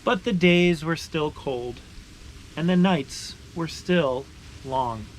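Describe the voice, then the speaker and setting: A man reading a picture book aloud to children with expressive, deliberate phrasing, stressing words as he narrates. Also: on the high side, rough recording. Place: beside a boiling maple sap evaporator. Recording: steady ambient background noise.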